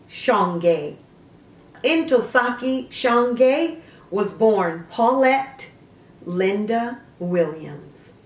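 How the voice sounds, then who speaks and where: A woman hosting a literary vlog, speaking theatrically in emphatic, measured short phrases. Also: medium pitch. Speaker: host of a literary video show. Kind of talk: dramatic, theatrical vlog monologue, partly reading aloud. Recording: roomy echo, distant mic, faint noise.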